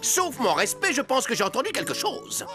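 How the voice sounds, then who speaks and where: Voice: high voice, huge pitch swings, normal speed, music in the background. An actor playing a boastful, self-important brave knight in a cartoon storybook tale, pompous and declamatory.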